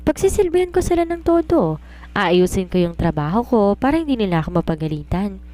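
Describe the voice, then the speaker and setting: A woman's voice: medium pitch, very animated, brisk, dark tone, natural voice. A woman voicing a confident romance writer in an audiobook, giving earnest, instructive advice like a mentor. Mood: boastful, coaxing. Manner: earnest, instructive, self-assured. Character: confident, preachy woman romance writer advising her maid. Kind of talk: audiobook character dialogue.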